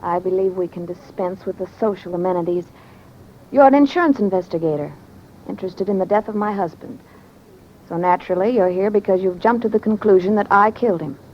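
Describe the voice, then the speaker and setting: A woman's voice: mid-range voice, fairly quick, natural voice. A woman playing a haughty, short-tempered rich widow in old-time radio acting style. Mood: icy. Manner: imperious, cutting. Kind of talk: 1940s-50s radio acting. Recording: dull, noisy old radio recording.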